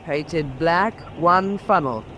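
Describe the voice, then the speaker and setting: An actor playing an alert, businesslike air force pilot in a wartime radio drama, matter-of-fact and directing. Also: male voice, on the high side, slow, slight background noise. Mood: alert, confident. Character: alert, businesslike male RAF patrol pilot. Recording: loud vehicle engine drone behind voice.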